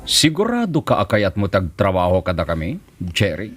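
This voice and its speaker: Man acting low-voiced, commanding gang boss. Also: huge pitch swings, normal speed, faint music behind, full character voice. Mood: probing, commanding. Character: probing, authoritative male gang boss. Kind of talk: Ilocano radio-style drama acting.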